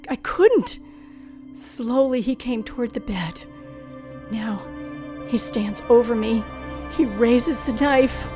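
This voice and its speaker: Frightened young heroine of a vintage radio thriller: high, slow, breathless, dramatic. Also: female voice, a little mellow. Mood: terrified. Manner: breathless, dramatic, deliberate phrasing. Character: Linda, terrified young woman recounting a night of terror. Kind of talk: old-time radio thriller acting and narration. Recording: vintage radio recording with music behind.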